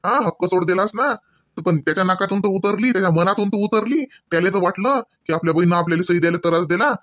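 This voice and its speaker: Guy doing a old village dad in a cartoon, varhadi marathi accent, rapid high voice, nags and pleads, stern. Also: a bit bright, no echo, studio quality. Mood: stern, admonishing. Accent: Varhadi Marathi, rural Vidarbha. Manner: lecturing, insistent, peppered with questioning tags. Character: stern, pleading old village father admonishing his daughter. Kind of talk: comedy cartoon dialogue in village dialect.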